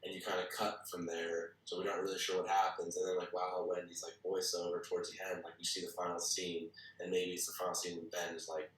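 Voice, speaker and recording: A man's voice: low-pitched, completely flat delivery, fast talker, hardly any pauses, a bit bright. A man giving casual feedback in a workshop discussion, phrasing things loosely and hesitantly with lots of filler hedges. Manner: hesitant phrasing, hedging with many "like" and "kinda". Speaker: participant giving script feedback at a table read. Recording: echoey room, far from the mic.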